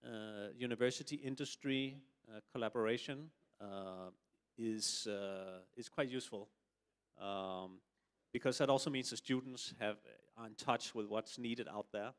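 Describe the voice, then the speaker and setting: A man's voice: medium pitch, fairly even intonation, measured pace, clear, resonant tone. Man from Denmark, middle-aged, hesitant, lots of ums, forum panelist. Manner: hesitant, many filler sounds. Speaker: sustainable building consultant, forum panelist. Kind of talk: panel discussion answer.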